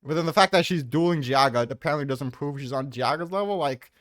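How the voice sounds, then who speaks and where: A man's voice: on the high side, fast talker, a bit bright, no room sound, studio quality. An American man hosting a casual fan debate, brash and slangy with heavy swearing. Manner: brash, slangy, heavily profane.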